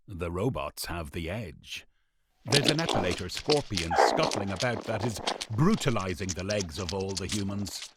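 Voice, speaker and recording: A man narrating a scripted radio play in a dramatic, deliberate storytelling manner. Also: low-pitched, breathy edge.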